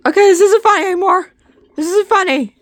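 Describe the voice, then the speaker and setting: Woman acting high-pitched, excitable frightened young woman. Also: a little fast, a little hiss or hum. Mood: panicked. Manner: exclamatory, gasping, reacting aloud to herself. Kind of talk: amateur reaction roleplay acting.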